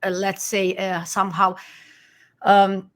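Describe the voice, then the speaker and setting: A woman's voice: mid-range voice, little pitch movement, takes it slowly. A woman whose first language is Armenian, an author and life coach, talking earnestly in an interview with frequent restarts. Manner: earnest, emphatic, many restarts. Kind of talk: conversational interview.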